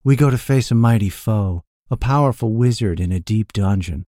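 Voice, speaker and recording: A man's voice: lowish voice, normal speed, warm tone, no echo, lightly acted. A man voicing a sly, sour, world-weary hireling guide with a dry, falsely deferential manner. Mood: placating.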